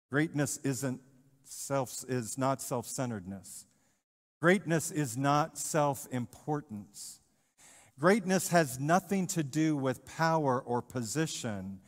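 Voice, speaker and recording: A middle-aged male pastor preaching a sermon in a church, animated, with rhetorical questions and repetition. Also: mid-range voice. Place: church sanctuary, in front of the altar. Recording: church livestream with long reverb.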